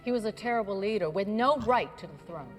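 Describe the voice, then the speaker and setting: An actress playing a cool, blunt, independent assassin for hire, measured and matter-of-fact in screen drama dialogue. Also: female voice, mid-range voice, expressive, lightly acted. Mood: firm. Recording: music behind film dialogue.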